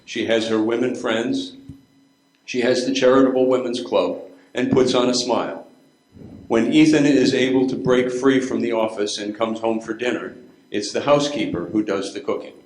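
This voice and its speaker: A man's voice: medium pitch, fairly even intonation, moderate pace, darkish tone. An older man reading scripted narration as the narrator of a readers theater play. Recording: echoey room.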